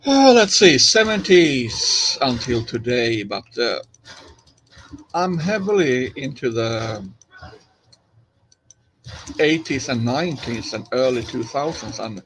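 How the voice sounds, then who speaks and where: Slow-talking Finnish man, laid-back. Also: mid-range voice, a little hiss or hum, poor audio. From Finland. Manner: relaxed, rambling, many fillers and restarts. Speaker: streamer and DJ. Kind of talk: casual live-stream chat while gaming.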